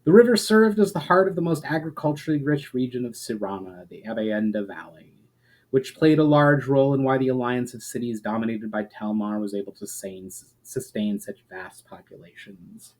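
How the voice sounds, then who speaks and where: A man with a medium-pitched voice speaks as an author hosting his own reading. His delivery is expressive and measured. Phrases tend to settle softly as each sentence closes. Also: rough recording. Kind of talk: dramatic storybook reading of fantasy prose.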